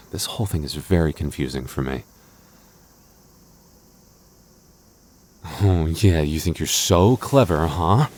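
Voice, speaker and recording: Man acting deep-voiced, flustered jealous boyfriend. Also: a little mellow, slightly rough, exaggerated voice. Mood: flustered, indignant. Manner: stammering, mock-indignant, sighing and chuckling. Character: clingy, jealous boyfriend, flustered, affectionate, playfully grumbling. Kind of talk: intimate boyfriend audio roleplay, ASMR.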